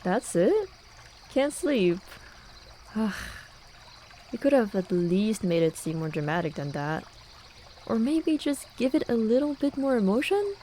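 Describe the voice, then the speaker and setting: A woman's voice: fairly high pitch, warm tone, slight background noise. A young woman acting a playful, teasing student friend in a casual, intimate ASMR roleplay. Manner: casual, teasing, with sighs and chuckles. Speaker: voice actor. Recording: running and trickling water behind voice. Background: hot spring water ambience.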